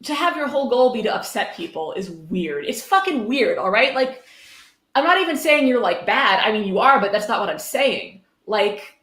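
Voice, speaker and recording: A woman's voice: a little high, sing-song, normal speed, fairly bright tone. A woman hosting a live-streamed reading, commenting casually in a wry, sardonic way with some swearing. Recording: roomy, echoey sound.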